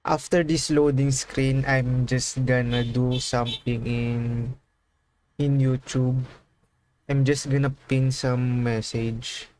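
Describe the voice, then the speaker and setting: A man chatting casually on a game livestream in a halting way, often repeating himself. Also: medium pitch, little pitch movement, a little mellow, slight background noise. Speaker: livestreamer playing a video game.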